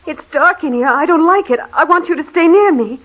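An actress playing a frightened, anxious wife in an old radio horror drama, fretful and pleading. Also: female voice, sits high, animated, fairly quick. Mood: alarmed pleading. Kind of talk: vintage radio horror acting. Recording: tinny, hissy old-time radio recording.

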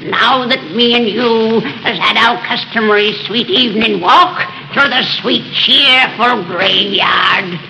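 A woman's voice: a little high, gravelly, bright, forceful tone, slight background noise, exaggerated voice, low-quality recording. A woman playing a 116-year-old witch, gleefully macabre and folksy, in a 1930s radio horror drama. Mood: gleefully sinister. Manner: folksy, drawn-out storytelling with cackles.

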